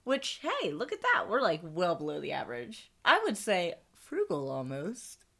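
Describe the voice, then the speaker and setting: A woman's voice: on the low side, huge pitch swings, normal speed, pushed and bright. A middle-aged woman co-hosting a casual podcast, chatty and bubbly, laughing often. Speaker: podcast co-host. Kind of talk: casual chat.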